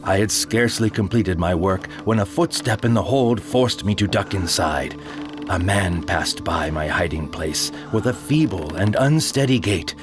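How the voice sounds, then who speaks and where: Solemn man narrating over music. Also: fairly low pitch. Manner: measured, solemn first-person storytelling. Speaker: narrator of an audio drama. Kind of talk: one-man dramatic reading of a period tale.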